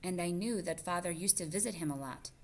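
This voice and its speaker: A woman reading the part of a quiet, thoughtful young boy mouse in a storybook, plain and reflective. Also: fairly low pitch, fairly quick, slight background noise, close to an everyday voice.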